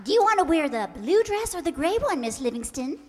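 Woman as high-voiced, gossipy housemaid. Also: sing-song, brisk, a crowd in the background, noisy background. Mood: eager, nosy. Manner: eager, gossipy. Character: chatty, starry-eyed, nosy female housemaid. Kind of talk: old-time radio comedy acting. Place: stage in a large hall. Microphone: stand microphone. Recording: echoey hall stage performance.

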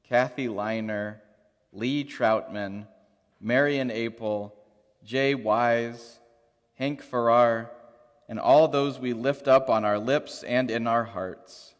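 A man leading a congregation through the creed and prayers with measured, liturgical recitation. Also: mid-range voice, fairly even intonation. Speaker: worship leader. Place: large church sanctuary. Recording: long church reverb.

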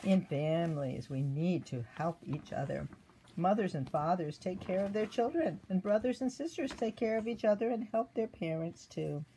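An older woman with a soft, mellow and slightly low voice reads a children's devotional story. She speaks gently and simply, like a teacher asking little ones questions. The recording is indoors, with a light layer of room noise. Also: normal speed, poor audio.

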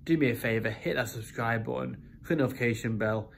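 A man's voice: medium pitch, quick pace, creaky, a little hiss or hum. A British man in his thirties with a cold, sounding nasal, talking casually and rambling in a car vlog recorded in a parked car. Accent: British English. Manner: rambling, with many restarts. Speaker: car vlogger.

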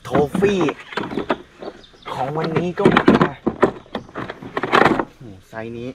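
Excitable young man fishing from a kayak. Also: on the high side, takes it slowly, far from the mic, heavy background noise. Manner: exclaims loudly at each catch, calmer when explaining. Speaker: fishing vlogger. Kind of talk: excited casual commentary while fishing. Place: kayak on a canal outdoors. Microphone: action camera on the boat. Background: music.

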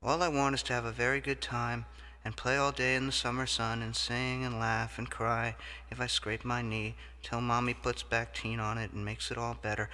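A man's voice: mid-range voice, pushed and bright, natural voice. A man voicing a childish, playful character who whines, squeals with glee and keeps breaking into coughs. He is heard in an echoey hall. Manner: gleeful, whiny, broken by coughs.

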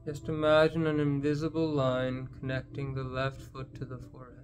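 A man leading a guided meditation in a gentle, unhurried manner, leaving long pauses between phrases. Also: mid-range voice, completely flat delivery, soft background music.

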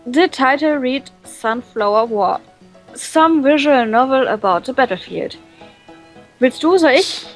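A high-voiced woman, a native German speaker, co-hosts a visual novel let's play. She reads on-screen text aloud and comments casually, often stopping to find a word. Music plays faintly behind her. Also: animated, rough recording. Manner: halting, searching for words.

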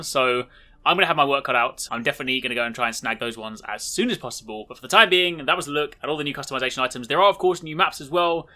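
Man, gaming host, informal showcase commentary, quick pace, forceful bright tone. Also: on the high side. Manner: chatty, informal.

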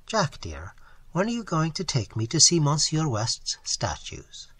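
A man voicing an anxious, tender young woman in an audiobook, speaking softly in a hushed, nervous, pleading way. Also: a little high, wildly swooping pitch, measured pace, husky, no room sound, exaggerated voice. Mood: bright, chiding. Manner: soft, hushed, nervous, faltering. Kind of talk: literary audiobook character dialogue.

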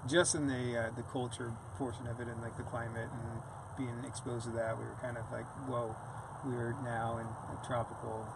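A man's voice: mid-range voice, little pitch movement, moderate pace, vocal fry, few pauses. A man in his thirties from Seattle, talking relaxedly with many fillers as an interview guest in a parked van. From Seattle, USA. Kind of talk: casual interview. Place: parked van among trees. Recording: loud noise in van.

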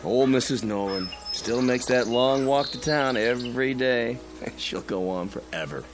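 An actor playing a friendly, chatty small-town neighbor in a radio drama, with a folksy manner. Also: male voice, medium pitch, a little slow, background noise, close to an everyday voice. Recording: classical music playing behind the voice.

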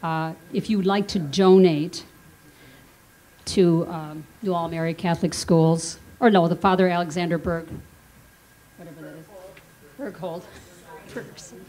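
Slow-paced older woman at a microphone. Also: on the low side, steady tone, mellow, poor audio. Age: 60s. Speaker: speaker at a class reunion. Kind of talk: informal public remarks and poem reading. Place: indoor venue with brick wall, on a small stage. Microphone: microphone on a stand.

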